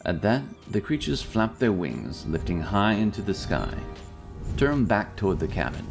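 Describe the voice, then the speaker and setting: A man's voice: lowish voice, sing-song, moderate pace, vocal fry. A man narrating a scripted fantasy story as a storyteller. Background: music.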